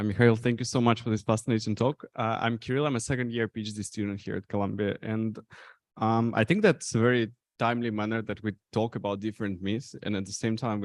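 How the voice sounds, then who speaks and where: A young man, a graduate student, asks an articulate question from the audience. His voice sits low, and he speaks fluently. The recording is dry. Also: no echo. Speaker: PhD student asking a question.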